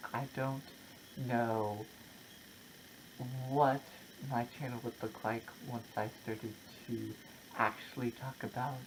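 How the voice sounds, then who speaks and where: A content creator talking casually and personally, in a halting, reflective way with short broken phrases. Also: mid-range voice, slight background noise, poor audio. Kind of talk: casual personal vlog, opinion talk to camera.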